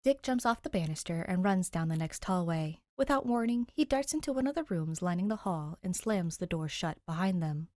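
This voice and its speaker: A woman's voice: fairly low pitch, animated, moderate pace, few pauses, a little mellow, dry recording, clean studio sound. A woman giving a scripted narration of a story as an audiobook reader. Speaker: podfic narrator.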